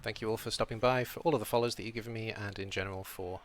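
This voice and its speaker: A young British man from Wales with a slight European tilt to his accent, chatting casually while he streams a game, reading chat and thinking out loud. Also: on the low side, fairly even intonation, racing, a little hiss or hum. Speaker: streamer playing a video game. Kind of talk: casual live-stream commentary.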